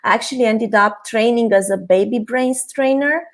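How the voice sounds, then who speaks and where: A woman's voice: fairly high pitch, moderate pace. A woman in her thirties from Romania, a guest on a video call interview, talking openly with light laughs and many fillers. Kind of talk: casual interview talk.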